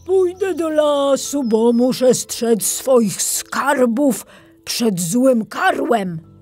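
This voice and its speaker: A woman acts out a kindly bear from a storybook. The speech is slow, solemn and deliberate, raised above plain narration, with music playing behind it. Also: high voice, lively intonation, darkish tone, pitched above the performer's own voice, heavily acted. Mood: solemn warning. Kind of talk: acted storybook dialogue.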